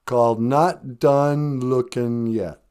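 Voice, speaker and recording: A man talking casually and jokingly as a podcast host and musician, in an animated way. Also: medium pitch, takes it slowly, clean tone.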